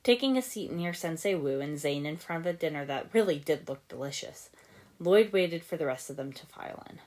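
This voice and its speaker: A woman's voice: low-pitched, expressive, a little fast, a little mellow. A woman reading fan fiction aloud as a scripted audiobook narration. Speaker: podfic narrator.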